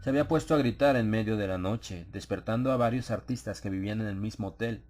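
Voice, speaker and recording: A man narrating a dramatized horror audiobook in a measured, solemn storytelling manner. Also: lowish voice, a little mellow. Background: ambient sound effects.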